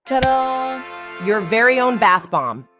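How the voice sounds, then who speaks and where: A woman playing a sassy, casual seventeen-year-old girl driver in an animated comic dub. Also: on the high side, slow, over music. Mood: affectionate.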